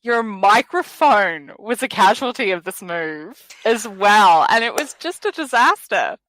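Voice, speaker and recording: A woman's voice: medium pitch, animated, a little slow, fairly bright tone, no echo, rough recording. A woman hosting a casual science radio show, bubbly and exclamatory, laughing often. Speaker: host.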